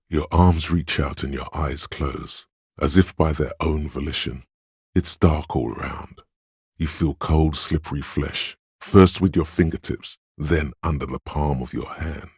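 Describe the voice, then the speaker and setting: An actor voicing a detached inner sense in a game, describing smells, tastes and touch in calm, clinical second-person narration. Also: male voice, rumbling low, breathy edge, no room sound, studio quality, heavily acted.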